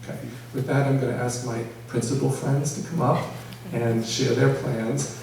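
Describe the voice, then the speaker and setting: A man makes collegial, measured remarks as a school official leading a public meeting. His voice is mid-pitched and heard from a distance. The room is strongly reverberant. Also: level tone, a little mellow. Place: large echoey meeting room. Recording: distant mic, very echoey room, noisy hum.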